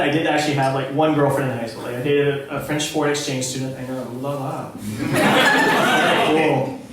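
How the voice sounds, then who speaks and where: Man doing stand-up in an echoey club. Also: a little high. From Charleston, South Carolina. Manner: self-deprecating, awkward storytelling. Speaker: stand-up comedian. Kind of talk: stand-up comedy set. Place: comedy club stage. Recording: echoey live club recording, distant mic, loud audience laughter.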